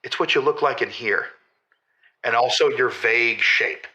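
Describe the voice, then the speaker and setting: A man playing an earnest, chipper convention organizer calling in to a comedy radio show, pitching like a salesman. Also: normal speed, bright, forceful tone. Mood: earnest enthusiasm. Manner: earnest salesman patter with hesitant fillers. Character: earnest, chipper male convention organizer promoting a prudish community. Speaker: caller. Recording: roomy, echoing voice pickup.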